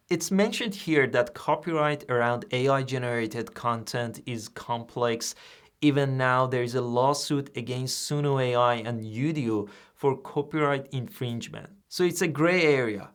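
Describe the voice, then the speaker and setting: A man whose first language is Persian, hosting a software tutorial and explaining each step in a plain, steady way. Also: medium pitch. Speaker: tutorial host. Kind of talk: screen-recorded software tutorial.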